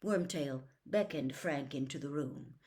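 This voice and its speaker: A woman storyteller reading a novel aloud in dramatic, expressive narration, building suspense with hushed emphasis. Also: deep voice, unhurried.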